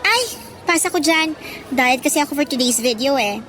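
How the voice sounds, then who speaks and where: A woman's voice: high voice, lively intonation, sharp tone, faint music behind. A woman playing a pushy, vain, slangy female college student in a radio drama. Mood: breezy, friendly. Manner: sassy, slangy, cajoling, drawn-out words.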